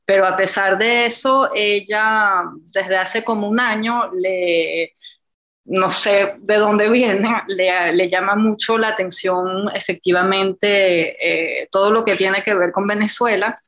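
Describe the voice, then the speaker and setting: A middle-aged woman from France, a native French speaker, sharing personal stories over a video call and often pausing to search for words. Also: mid-range voice, steady tone, a bit bright. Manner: halting, searching for words, many fillers. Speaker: group participant.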